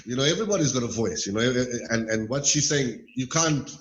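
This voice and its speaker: A middle-aged man from Malawi, a podcast guest talking in a thoughtful, measured way with hedging asides. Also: mid-range voice, animated, a little hiss or hum. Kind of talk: casual interview chat. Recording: roomy echo.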